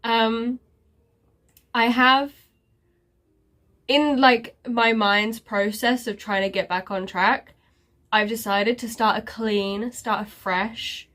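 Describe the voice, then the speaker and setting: Slow-talking congested woman vlogger. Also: a little high, steady tone, fairly bright tone. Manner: sarcastic, self-mocking, rambling with many fillers. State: congested, stuffy nose. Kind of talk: casual life-update chat.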